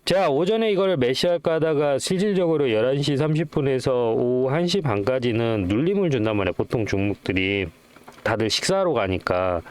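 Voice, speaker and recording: A man's voice: mid-range voice, clear, resonant tone. A middle-aged man hosting a stock trading channel, explaining charts informally and often putting rhetorical questions to his viewers.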